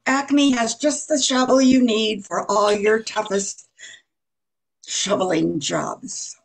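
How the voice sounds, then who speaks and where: A woman playing a radio announcer, reading scripted old-time commercials with a husky, creaky voice. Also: fairly high pitch, steady tone, takes it slowly, exaggerated voice, rough recording. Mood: selling. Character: cheerful female radio ad announcer.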